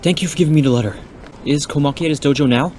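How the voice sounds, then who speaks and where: A man playing a calm, polite young hero in an amateur audio drama, talking casually. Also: a little high, racing, slight background noise, natural voice. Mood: curious. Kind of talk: fan audio drama acting.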